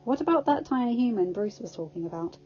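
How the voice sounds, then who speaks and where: A woman's voice: on the high side, expressive, fast, mellow, lo-fi sound, a little hiss or hum, pitched above the performer's own voice, lightly acted. Tough casual warrior lady, done by a female reader, direct and encouraging, story reading, dullish audio. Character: blunt, tough, easygoing warrior woman.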